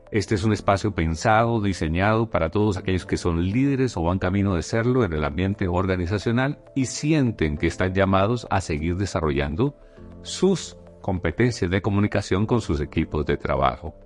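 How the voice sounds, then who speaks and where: Male podcast host, very low voice, measured and formal, faint background music. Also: a little mellow. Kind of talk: podcast hosting and introductions.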